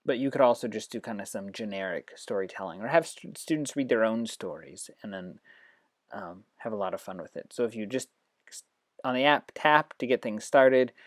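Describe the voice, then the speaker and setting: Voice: medium pitch, no echo, studio quality. An educator giving an informal, explanatory demonstration with read-aloud passages. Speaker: educator demonstrating reading apps. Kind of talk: instructional screen demo with read-aloud passages.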